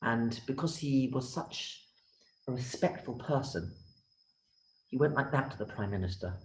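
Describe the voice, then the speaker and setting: A man telling a children's story in a theatrical, deliberate way, speaking straight to his listeners. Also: medium pitch, animated, a little hiss or hum. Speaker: rabbi and storyteller. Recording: roomy, echoing sound.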